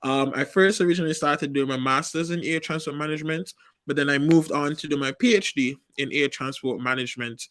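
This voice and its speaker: A man from Saint Kitts and Nevis, chatting with lively expression and easy laughs. His voice is a touch high for a man and sounds close and dry, with no room around it. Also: brisk, dry recording. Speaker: PhD student. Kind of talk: casual panel chat.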